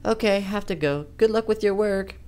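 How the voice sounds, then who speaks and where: Tipsy young woman friend on a call, high and breezy, storybook dialogue acted by the story's narrator. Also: moderate pace, darkish tone, clean tone, close to an everyday voice. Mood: chatty, breezy.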